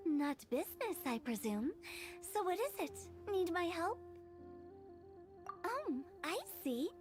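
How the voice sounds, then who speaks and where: High-pitched mischievous teenage prankster girl. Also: big pitch swings, slow pace, full character voice. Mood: playfully teasing. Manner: teasing, singsong, wheedling. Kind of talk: video game voice acting. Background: background music.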